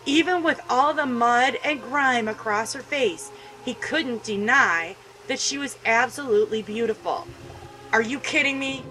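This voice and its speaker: A woman's voice: fairly high pitch, a little slow, sharp tone, a little hiss or hum, low-quality recording. A woman hosting a gaming video, reading a visual novel aloud and commenting with emotional, indignant feeling.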